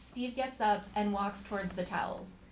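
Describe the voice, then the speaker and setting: A woman's voice: mid-range voice, normal speed. Woman narrating stage directions, echoey and noisy. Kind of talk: scripted narration at live table read. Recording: echoey, noisy, distant live stage pickup.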